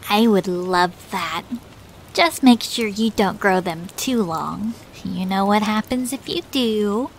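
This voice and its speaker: A woman's voice: mid-range voice, slow pace, a little hiss or hum, poor audio. A woman voicing a caring, affectionate female cartoon beaver girlfriend in a cutesy, soothing, doting way. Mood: fond, sleepy. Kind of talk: cartoon fan fiction audio reading.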